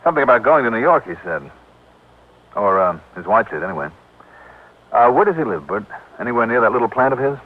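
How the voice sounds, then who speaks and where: American, shrewd wry radio detective, terse, rough-edged voice, thin vintage broadcast sound. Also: male voice, medium pitch, big pitch swings, fast, long pauses, narrow, tinny audio. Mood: brisk. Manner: breezy, hard-boiled, terse. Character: wry, shrewd bachelor freelance insurance investigator. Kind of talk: 1950s radio drama acting. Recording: old band-limited radio broadcast recording.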